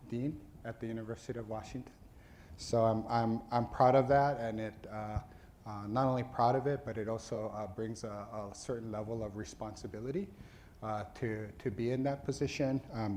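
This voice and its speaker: A middle-aged man from Hawaii, a dean addressing his school's faculty in an earnest, halting way, with many fillers and restarts. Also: medium pitch, warm tone, a little hiss or hum. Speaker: dean of a social work school.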